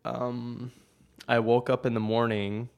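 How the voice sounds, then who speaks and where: A man's voice: medium pitch, little pitch movement, slow pace, gravelly, smooth. A young man who coaches at a gym, chatting casually as podcast co-host and rambling with lots of fillers. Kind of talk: casual chat.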